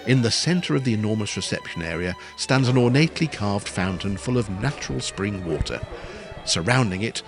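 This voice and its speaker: A man's voice: on the low side, natural voice. A man narrating a period farce as a wry, descriptive storyteller with a scripted delivery. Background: prominent music.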